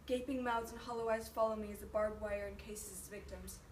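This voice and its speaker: A woman performing an ambitious army lieutenant in a deliberate, declamatory slam poetry recital. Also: mid-range voice, fairly even intonation, lightly acted. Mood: haunted. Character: ambitious, self-assured army lieutenant, later haunted. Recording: noisy, echoey room, mic far off.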